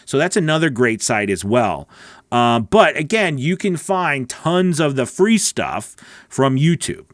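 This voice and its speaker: A man's voice: mid-range voice, very animated, measured pace, no echo. A middle-aged man giving casual, frank advice as a coach, conversational and often checking in with the listener, on a close studio microphone. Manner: frank, conversational, often checks in with "okay" and "you know what I mean". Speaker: voice-over coach and channel host. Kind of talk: casual advice talk to camera. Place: small home recording studio. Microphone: close broadcast microphone on a boom arm.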